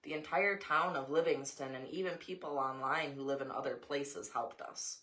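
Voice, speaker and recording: A woman's voice: sits low, moderate pace, sharp tone. An American woman reading a story aloud, with casual asides along the way. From Montana, USA.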